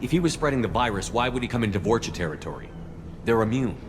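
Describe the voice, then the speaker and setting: A man playing a steady, principled military commander in a video game, speaking in a firm, plain, controlled way. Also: mid-range voice, fast, noisy background, natural voice. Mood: firm, persuasive. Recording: long reverb, music behind.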